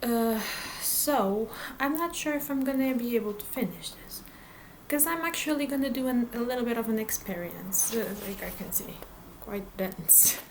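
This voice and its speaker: A woman's voice: on the high side, expressive, normal speed, a little hiss or hum, poor audio. A woman whose first language is Portuguese, chatting casually to camera about her reading plans, hesitant, with many fillers and restarts. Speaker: book vlogger.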